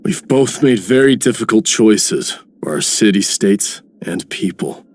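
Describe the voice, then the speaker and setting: Warrior king for a game: proud, stern, grave, very deep voice. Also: expressive, measured pace. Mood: grave, resolute. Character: stern, proud, battle-hardened male ruler. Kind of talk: scripted game character lines.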